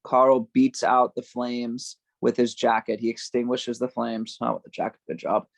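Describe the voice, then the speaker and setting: A man narrating a screenplay's stage directions at a table read, with a gravelly voice. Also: medium pitch, little pitch movement, normal speed, no room sound.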